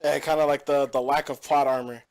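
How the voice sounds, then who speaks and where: A man's voice: mid-range voice, little pitch movement, a little fast, a little mellow. A young man hosting a casual gaming podcast, rambling and opinionated with lots of fillers. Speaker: podcast host. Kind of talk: casual chat.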